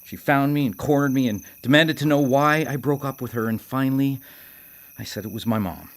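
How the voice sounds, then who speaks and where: An actor in a radio drama playing a guilt-ridden male killer, halting as he confesses and menacing in manner. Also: medium pitch, lightly acted. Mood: subdued confession. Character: brooding guilt-ridden male serial killer, haunted by his mother. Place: live radio drama broadcast.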